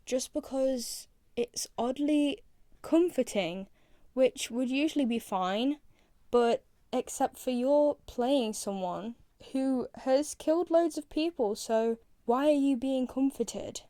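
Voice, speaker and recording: A young woman talks as a video creator in casual ranking commentary. Her voice sits a little high, and she rambles in a self-deprecating way. The sound is dry, with no room echo. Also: takes it slowly, mellow.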